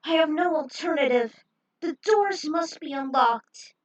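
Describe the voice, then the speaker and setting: A woman's voice: sits high, animated, unhurried, far from the mic, pitched above the performer's own voice, low-quality recording. A woman voicing alarmed, defiant young heroes in an amateur dramatic story reading, exclamatory and emphatic. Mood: determined.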